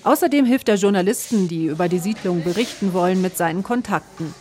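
A woman reporter reading scripted documentary narration in a measured, even way. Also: lowish voice.

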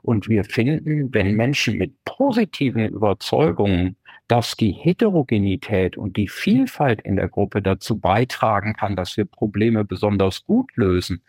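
A man who researches groups, answering interview questions in a measured, academic way and building his points step by step. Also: lowish voice, sing-song, a little mellow, slightly rough, no room sound. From Germany. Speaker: researcher guest.

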